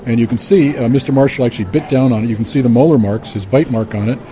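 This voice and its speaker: A man, a museum curator, explaining things in a relaxed interview clip, with fillers. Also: medium pitch, quick pace, a little hiss or hum, poor audio.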